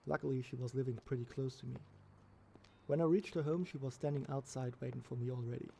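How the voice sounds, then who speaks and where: A man reading a scripted first-person horror story as its narrator, with a gravelly voice. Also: mid-range voice, steady tone, fast, dark tone, slight background noise.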